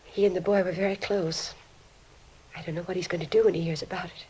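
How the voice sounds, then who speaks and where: An actress playing a distraught, disbelieving middle-aged American mother in an old radio crime drama, with a breathy, fragile voice. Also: on the low side, fairly even intonation, quick pace, dark tone, slight background noise, lightly acted, low-quality recording. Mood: dazed, sorrowful. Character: distraught, grieving mother of a teenage boy, disbelieving.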